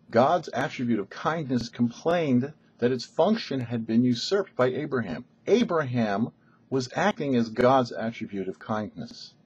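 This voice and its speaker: A man's voice: mid-range voice, a little mellow, slightly rough, lo-fi sound. A man speaking as a rabbi and show host, giving a short scripted religious teaching in a didactic way with rhetorical questions. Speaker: rabbi, host of a weekly Torah portion show.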